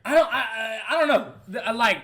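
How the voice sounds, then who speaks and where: A man's voice: very high voice, a little fast. A man in his twenties giving a rambling, casual reaction to an album, swearing loosely as he talks. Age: 20s. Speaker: streamer reacting to music. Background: loud hip hop music playing.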